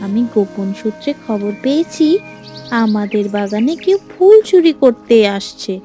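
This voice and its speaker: একজন মহিলা কার্টুন রূপকথার এক চালাক, খানিকটা কর্তৃত্বপরায়ণ পরীর চরিত্রে নাটকীয় ভঙ্গিতে কণ্ঠ দিচ্ছেন।. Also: female voice, a little high, expressive, a little slow, dark tone, faint music behind. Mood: feigned concern, stern. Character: clever female fairy, helpful schemer, teasing and bossy. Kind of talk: animated fairy tale voice acting.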